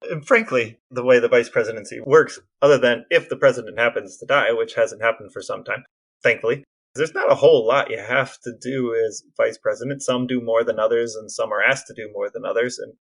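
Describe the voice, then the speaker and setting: A man co-hosting a podcast, telling historical anecdotes in a casual, animated storytelling manner. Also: mid-range voice, a little fast, gravelly. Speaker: podcast co-host.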